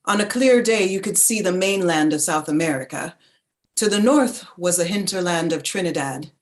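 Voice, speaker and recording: A woman narrating a staged literary reading in a measured, lyrical, dramatic way. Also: lowish voice.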